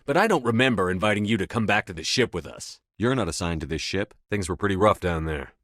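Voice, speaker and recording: A resolute starship commander in a video game. His voice sits low and is recorded very dry. He speaks tersely, with firm authority, like someone used to giving orders. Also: lively intonation, fast, fairly bright tone, no echo, heavily acted. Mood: stern, measured. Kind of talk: video game voice acting, scripted dialogue lines.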